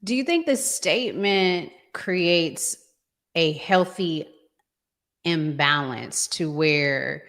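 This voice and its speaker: Low-voiced, slow-talking American woman. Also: animated, fairly bright tone. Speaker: podcast host. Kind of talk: casual podcast discussion.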